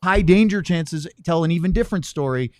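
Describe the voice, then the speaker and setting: Male sports podcast co-host, rapid casual delivery, very dry close sound. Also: a little high, lively intonation, darkish tone, dry recording.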